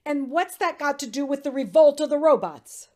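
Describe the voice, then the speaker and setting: An actress playing an urgent factory engineer in old radio drama style, clipped and excitable. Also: female voice, sits high, normal speed. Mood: questioning.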